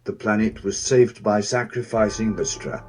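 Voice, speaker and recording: A man playing a cold, bitter, grandiose warrior villain in a fan-dubbed video game, speaking in a measured, contemptuous way. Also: fairly low pitch, slightly rough, faint music behind. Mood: cold contempt.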